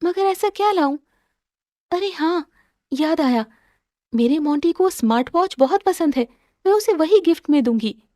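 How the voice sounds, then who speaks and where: A woman's voice: extremely high pitch, lively intonation, normal speed, long pauses, mellow, dry recording, clean studio sound, voice pushed up. A woman voicing a devoted, emotional young wife in a cartoon moral story, speaking in an earnest, pleading, sentimental way. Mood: musing, hopeful.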